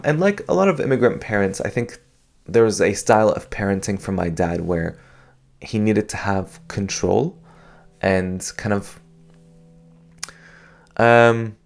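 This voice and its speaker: A young man from Canada speaking in a thoughtful, hesitant way, with many fillers and restarts, as he reflects on personal experience. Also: fairly low pitch. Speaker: podcast host.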